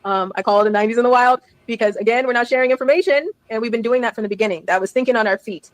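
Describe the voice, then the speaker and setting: A chatty woman tells a story, laughing often and acting out each side of the talk. Her voice sits a little high. The sound is dry and close, with no room around it. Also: very fast, dry recording, low-quality recording. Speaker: guest telling a story. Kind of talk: casual storytelling on a live stream.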